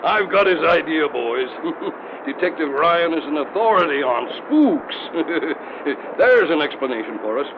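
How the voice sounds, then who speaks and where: Bossy skeptical police lieutenant, lively highish voice, old radio drama, noisy. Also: male voice, a little slow. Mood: dismissive, mocking. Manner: authoritative, dismissive. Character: brisk, skeptical American police lieutenant in charge. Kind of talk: 1930s radio drama acting. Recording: dull, noisy old radio broadcast recording.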